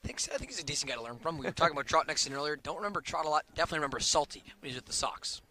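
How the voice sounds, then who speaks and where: Fast, bright American male color commentator. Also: fairly high pitch, expressive. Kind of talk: live sports commentary, conversational analysis.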